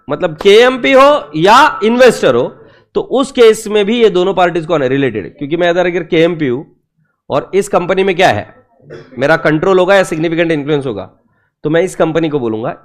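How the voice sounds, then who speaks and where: Lively man teaching an accounting class. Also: fairly high pitch. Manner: lively teacher who keeps quizzing students with rhetorical questions; teasing and playful with students. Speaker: accounting lecturer. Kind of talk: classroom lecture in mixed Hindi and English.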